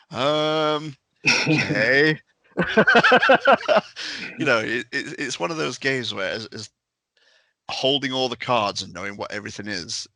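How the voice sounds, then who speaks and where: A man's voice: medium pitch, sharp tone, dry recording. A man on a podcast panel chatting enthusiastically in a casual review discussion.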